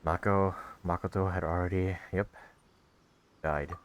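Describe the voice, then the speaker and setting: A young man hosting a gaming video, giving casual, halting commentary as he plays. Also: sits low, little pitch movement.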